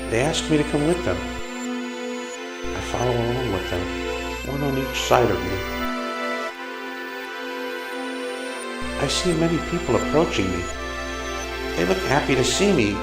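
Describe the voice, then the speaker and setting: A man playing a weary, sleepless night-shift guard, telling his story as a measured dramatic monologue in an audio play. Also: medium pitch. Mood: awed, joyful. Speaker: narrator of a short audio play. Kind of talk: scripted first-person dramatic monologue.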